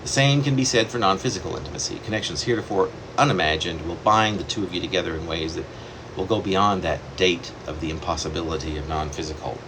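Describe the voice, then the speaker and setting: A man reading his own poem aloud in a measured, reflective way, pausing deliberately between phrases. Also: sits low. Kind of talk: poetry reading. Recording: steady air-conditioner hum behind the voice.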